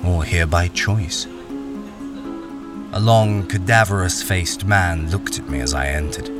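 An actor playing a wary, plain-spoken miner who tells his own story in a measured radio drama narration. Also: low-pitched, music in the background. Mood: wary, storytelling.

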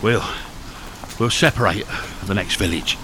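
A man's voice: fairly low pitch, a little slow, natural voice. A man playing a hunted, hardened smuggler in a radio thriller, terse, commanding and evasive. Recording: noisy radio drama with rain sounds.

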